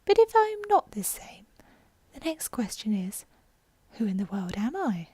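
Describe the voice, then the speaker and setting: A woman's voice: fairly high pitch, very animated, moderate pace, warm tone, slightly rough. A woman voicing a curious, dreamy young girl from a storybook, talking to herself in exclamations and musings. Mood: puzzled. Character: curious, dreamy, self-scolding young girl, prone to chatter.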